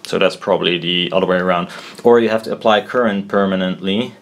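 A man with a fairly low voice gives an informal hands-on explanation, thinking aloud as he goes. He is halting and unsure of himself, trailing off and correcting his wording, with a blunt, casual turn of phrase. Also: steady tone. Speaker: maker explaining a DIY build. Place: indoors at a desk.

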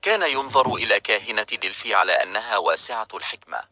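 Male storybook narrator, calm and even, low-ish and very bright tone, dry close mic. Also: fast talker, no room sound.

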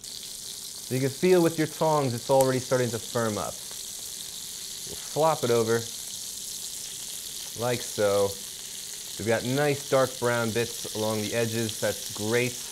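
A young man hosts a cooking demonstration in a kitchen. His voice sits at a middling pitch. He explains things step by step in informal, practical language. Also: slow pace, long pauses, background noise. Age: young adult. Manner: informal, instructional. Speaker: cooking show host. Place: indoor home-style kitchen.

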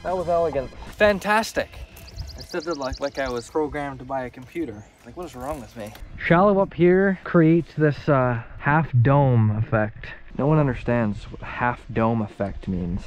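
A man's voice: medium pitch, lively intonation, moderate pace, soft background music. A young man, filming himself from a small boat on a lake and chatting casually about his catches in a goofy, self-mocking way. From Canada. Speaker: fishing vlogger. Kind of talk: casual self-filmed commentary. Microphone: action camera.

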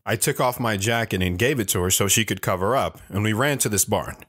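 A low male voice tells a first-person story for an audio drama. The actor plays a wandering man, wistful and a little bashful as he recalls his youth. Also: fairly quick, a bit bright. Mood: reflective.

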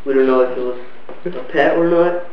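A man's voice: mid-range voice, normal speed, echoey room, muffled recording, a little hiss or hum. A young man answering questions in an offhand, joking way during a home-video interview.

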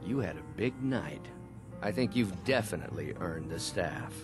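An actor playing a jovial, sly demon lord of debauchery in a fantasy game, teasing and theatrical like a roguish uncle. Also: male voice, sits low, lively intonation, normal speed. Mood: amused. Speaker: video game character. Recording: game audio with music behind.

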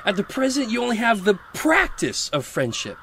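Pompous, preachy miller for a storybook drama, played by a man; high male voice, faint background noise. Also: huge pitch swings, moderate pace, heavily acted. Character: self-important, selfish male miller, preachy false friend. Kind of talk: audio storybook drama acting.

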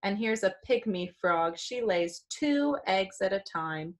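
A woman's voice: medium pitch, expressive, unhurried. A middle-aged American woman reading aloud to young pupils like a teacher, explaining as she goes with questions and small exclamations. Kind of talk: children's read-aloud with asides. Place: small room indoors.

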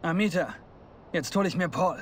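A man's voice: fairly high pitch, moderate pace, slight background noise, lightly acted. An actor playing a terse, determined young male fighter in an understated video game dub. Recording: loud music and game sounds behind.

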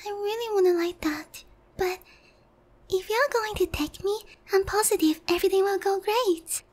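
A woman's voice: very high voice, normal speed, a little mellow. A woman playing a naive, sheltered young harpy noblewoman in a roleplay ASMR, polite, hesitant and earnest in a girlish way. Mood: grateful, hopeful.

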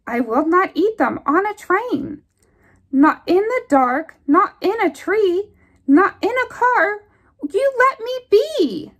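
A woman reading a rhyming picture book aloud for young children in an expressive, singsong, deliberate rhythm. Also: very high voice. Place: indoors at a table.

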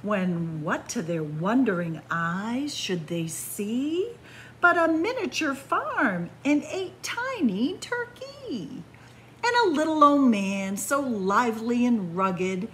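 A middle-aged woman reading a picture book aloud to children in an animated, deliberate storytelling manner. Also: fairly high pitch, a little hiss or hum. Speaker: library story-time reader. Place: indoors in a library among bookshelves.

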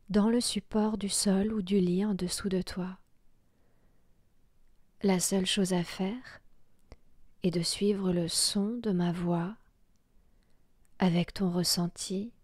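Female meditation guide. Half-whispered, breathy and soothing, very unhurried, close and dry sound. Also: medium pitch, no room sound. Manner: slow, soothing, hushed delivery with long pauses. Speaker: yoga nidra guide.

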